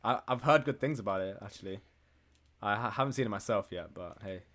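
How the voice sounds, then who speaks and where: A man's voice: lowish voice, fairly quick, fairly bright tone. A man co-hosting a podcast, chatting informally and often breaking off mid-thought. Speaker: podcast co-host. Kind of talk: casual podcast chat.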